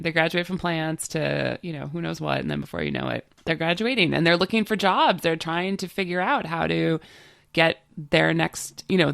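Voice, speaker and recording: A middle-aged woman co-hosting a podcast in casual, chatty talk, her voice stuffed up and nasal from a cold. There is a gravelly fry under her words. The sound is dry and close, with no room behind her. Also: deep voice, a bit bright, no echo. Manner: chatty, enthusiastic, many fillers. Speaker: podcast co-host.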